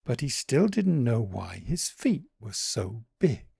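A man tells a children's story with dramatic expression and lively swings in pitch. His delivery is unhurried and husky, and the sound is close and dry. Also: dry recording. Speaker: author reading own picture book.

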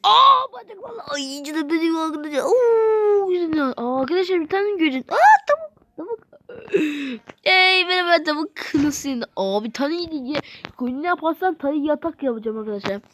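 High-pitched, exclamatory gaming YouTuber. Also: sing-song, moderate pace, sharp tone, dry recording. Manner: rambling, exclamatory, repeats phrases, addresses viewers as friends. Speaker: video game YouTuber. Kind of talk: excited let's play commentary.

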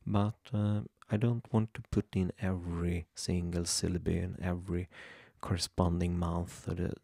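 A young man from Sweden with a Swedish accent, hesitantly walking through a software tutorial at his computer, with many ums and restarts. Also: low-pitched, little pitch movement, moderate pace, vocal fry, slightly rough, no echo, studio quality. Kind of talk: screen-recorded software tutorial. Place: home room at a computer.

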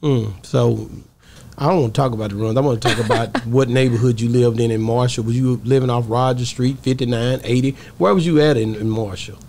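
A man's voice: mid-range voice, fairly even intonation, fairly quick, vocal fry, a little mellow. A middle-aged man hosting a podcast in casual, slangy, hyped-up talk, close on a studio mic. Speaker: podcast host. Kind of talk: casual hype-filled interview chat. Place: small indoor podcast studio. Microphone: close podcast mic on stand. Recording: faint background noise.

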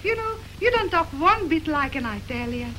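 An actress playing a chatty, hopeful young waitress arriving as a bride, talking in a folksy, ungrammatical way, in early 1930s film acting. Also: female voice, sits high, sing-song, normal speed, fairly bright tone. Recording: hissy early sound film.

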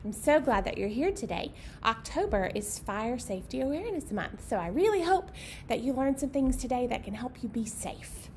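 A woman's voice: a little high, sing-song, moderate pace, a little mellow. A woman in her thirties presenting a storytime as a children's librarian, speaking simply to young children and their parents. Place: meeting room indoors. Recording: clear background noise.

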